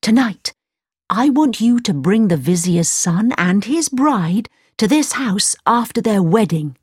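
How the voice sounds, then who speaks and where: A woman's voice: medium pitch, lively intonation, unhurried, darkish tone, slightly rough, dry recording, clean studio sound, pitched above the performer's own voice. A woman voicing an eager, commanding young man hero in a storybook reading.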